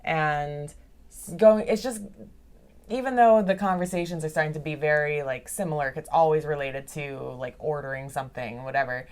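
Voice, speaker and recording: A woman's voice: sits low, normal speed. A young woman talking casually as a podcast guest, chatty, laughing often and filling her talk with "like.". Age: young adult. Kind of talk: casual interview chat. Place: video call. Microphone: video call.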